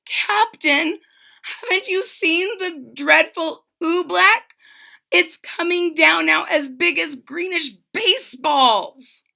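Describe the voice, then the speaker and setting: Storybook page boy, played by a woman: earnest and young, high bright voice, urgent, pleading delivery. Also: slow, vocal fry, exaggerated voice. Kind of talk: children's storybook read-aloud.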